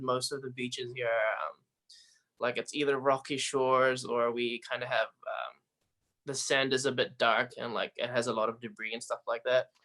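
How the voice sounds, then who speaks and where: A man's voice: medium pitch, bright, forceful tone. A man from the Philippines, a podcast guest talking in an easygoing, rambling way over a remote call. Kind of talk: casual interview chat. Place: home in quarantine, remote.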